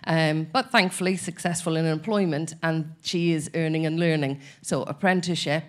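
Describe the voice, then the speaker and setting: A woman's voice: low voice, steady tone, normal speed. A middle-aged Irish woman on a conference panel who gives a candid, personal opinion. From Ireland. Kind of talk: panel answer. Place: large conference hall. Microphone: handheld microphone.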